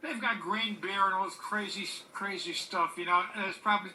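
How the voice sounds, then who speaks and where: A man chatting casually on a video call, halting and repeating his words. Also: high voice, steady tone, pushed and bright. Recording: noisy video call audio.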